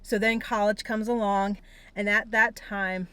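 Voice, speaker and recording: Young woman, American accent, smooth clear voice, mid pitch, earnest, reflective, personal vlog monologue. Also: fairly even intonation, unhurried, sharp tone. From Pennsylvania, United States. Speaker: therapist sharing her life story. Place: inside a parked car on a rainy day.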